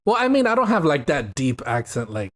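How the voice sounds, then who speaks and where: A man's voice: fairly high pitch, wildly swooping pitch, brisk. A man from Miami with a Miami accent, hosting a casual podcast chat in a rambling, jokey way. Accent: Miami English. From Miami, USA. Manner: rambling and jokey, repeats "you know what I mean". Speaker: podcast host.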